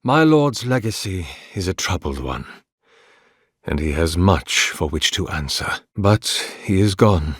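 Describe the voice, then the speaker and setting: An ancient, devout priest of a fantasy game, solemn and courteous. His low voice moves slowly and swings expressively through formal, archaic phrases, with a melancholy temper. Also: big pitch swings, husky, no echo, clean studio sound, heavily acted. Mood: grave, devout. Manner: formal, archaic, measured, reverent. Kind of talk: solemn video game character dialogue.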